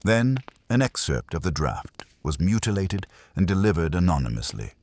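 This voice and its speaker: Guy doing a big-brained imperious detective in an audiobook, real low n husky, formal, pompous, swooping pitch. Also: sing-song, normal speed, darkish tone, slight background noise. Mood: stern, imperious. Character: imperious, calm, formidable male detective genius.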